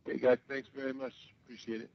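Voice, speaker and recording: A man's voice: medium pitch, level tone, quick pace, creaky, dry recording. A man working as a sports reporter, politely and a little hesitantly asking questions on a press call. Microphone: telephone. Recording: dull conference call, faint noise.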